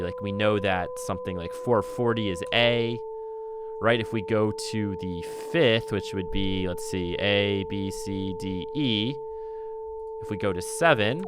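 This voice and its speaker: A man narrating a casual music software tutorial as an instructor, explaining things conversationally and thinking aloud as he goes. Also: deep voice, heavy background noise.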